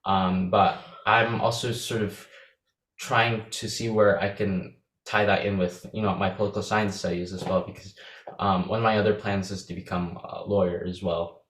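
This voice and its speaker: A man's voice: deep voice, level tone, moderate pace, a bit bright, echoey room, rough recording. A young man from the Philippines, speaking Visayan as his first language, answering interview questions casually with many fillers and restarts. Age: young adult. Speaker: interview guest.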